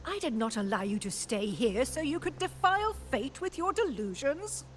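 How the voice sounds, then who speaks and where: A woman's voice: high-pitched, sing-song, normal speed, a little hiss or hum. A woman playing a stern, imperious priestess in a video game, formal and commanding. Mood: outraged.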